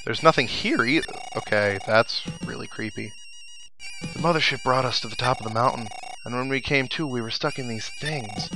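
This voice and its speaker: A man plays through a video game and comments casually as he goes. His voice sits at an average pitch, and he muses to himself between readings of on-screen text. Game music plays clearly behind him. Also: normal speed, poor audio. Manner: musing, talks to himself. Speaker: let's play commentator. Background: video game music and sound effects.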